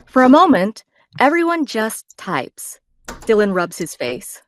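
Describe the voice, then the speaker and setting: A woman's voice: on the high side, sing-song, slow pace, slight background noise. A woman narrating scripted stage directions at a table read.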